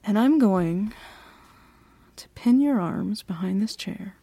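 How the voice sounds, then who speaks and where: Woman voicing a bossy female CEO, teasing and confident, slow unhurried talk, a little vocal fry. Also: mid-range voice, expressive, warm tone, dry recording. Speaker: ASMR roleplay performer. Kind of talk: flirty ASMR roleplay.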